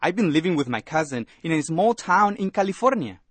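A man playing a friendly young male college student from Mexico in a scripted lesson dialogue, with a husky voice and a chatty manner. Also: fairly high pitch, sing-song, brisk, no echo. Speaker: dialogue actor.

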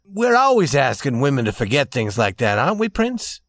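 A man voicing a troubled, wry young gentleman who is losing hope, in a storybook reading. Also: mid-range voice, wildly swooping pitch, normal speed, no room sound, clean studio sound. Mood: rueful, agitated.

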